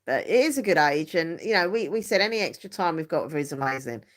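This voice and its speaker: A woman's voice: low voice, animated, fast. A woman in her forties hosting a livestream, chatting casually, laughing often and trailing off mid-sentence. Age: 40s. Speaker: livestream host. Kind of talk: casual chat.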